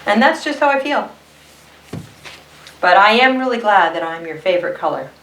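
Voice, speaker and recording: A woman's voice: medium pitch, very animated, normal speed, fairly bright tone. A woman voicing a timid, humble, worn-out little blue crayon with hesitant, polite delivery in a children's storybook read-aloud. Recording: roomy echo, distant mic.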